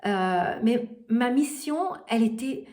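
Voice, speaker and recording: Earnest woman coach, halting delivery. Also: a little high, darkish tone. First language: not French. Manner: hesitant, many fillers and restarts, earnest. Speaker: life coach. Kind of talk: motivational coaching talk.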